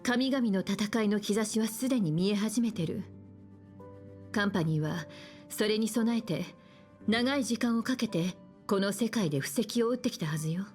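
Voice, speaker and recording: An actress playing a composed, caring woman navigator in an anime-style game, guiding gently. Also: medium pitch, fast, faint music behind, lightly acted. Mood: grave, knowing. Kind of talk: Japanese anime game voice acting.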